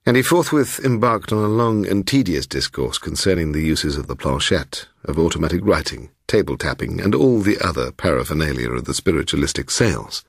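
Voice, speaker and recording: Guy reading a ghost story, really low husky voice, expressive, dry close recording. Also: brisk, hardly any pauses, no echo. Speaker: audiobook narrator. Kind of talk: dramatic first-person ghost story narration.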